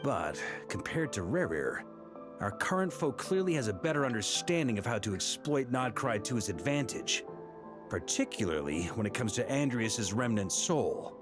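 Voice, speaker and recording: An actor playing a seasoned, confident knight commander in a video game, explaining tactics in a measured, pragmatic way. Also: male voice, mid-range voice, sing-song, lightly acted. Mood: serious, measured. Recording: music behind.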